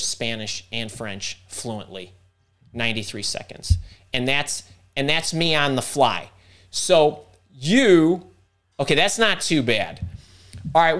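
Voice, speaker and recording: A middle-aged man hosting a live career workshop over a video call, talking fast and interrupting himself as he thinks aloud. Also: medium pitch, fairly bright tone. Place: home room indoors. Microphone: video call webcam.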